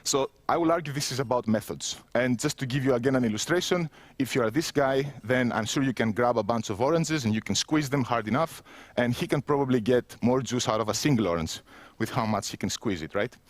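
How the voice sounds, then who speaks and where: A man's voice: medium pitch, a little fast, no echo. Keynote speaker, man, 30s, Greek accent, conversational and wry, dry close-miked sound. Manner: self-mocking, conversational, with frequent restarts. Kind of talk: conference keynote. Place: empty indoor room, standing.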